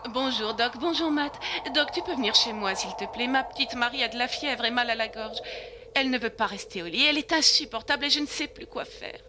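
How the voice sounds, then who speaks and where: A woman's voice: a little high, brisk, lightly acted. A woman playing a fretful, scolding young village mother in a French cartoon dub, with background noise. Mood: worried, exasperated.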